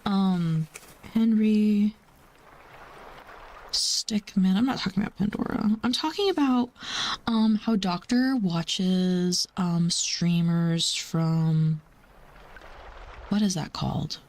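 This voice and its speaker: A woman with a mid-pitched voice, talking like a livestreamer to her chat. She is chatty and informal, wandering from one remark to the next and sometimes softening almost to a whisper. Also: slow, pauses often. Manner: chatty, rambling, calls herself Mama. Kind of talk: casual livestream chat with viewers.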